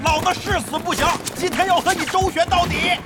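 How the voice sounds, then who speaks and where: An actor playing a crass, shameless veteran gamer who swears and blusters theatrically in an audio drama. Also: male voice, very high voice, a little fast. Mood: blustering. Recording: loud music under the voice.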